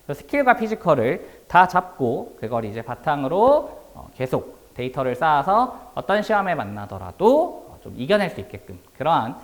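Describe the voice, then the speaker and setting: A male academy instructor walks listeners through a prepared class presentation. His voice is a little hoarse and worn, and his pitch moves widely as he explains things politely and formally. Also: big pitch swings, moderate pace, few pauses.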